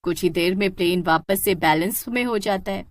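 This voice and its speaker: A woman's voice: on the low side, normal speed, no room sound, clean studio sound. A woman narrating a scripted cartoon horror story.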